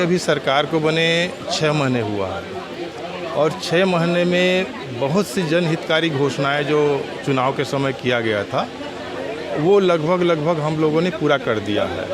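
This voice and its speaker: Male legislator, slightly high-pitched, formal and diplomatic in interview, with a crowd behind him. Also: measured pace. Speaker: politician, state legislator. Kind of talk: formal answers to a reporter.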